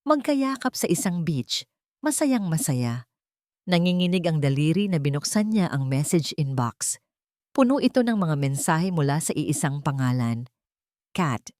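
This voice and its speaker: A woman with a Filipino accent reading a scripted love story as a narrator, in a dramatic, deliberate way. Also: low-pitched, very animated, a little mellow, no echo, clean studio sound.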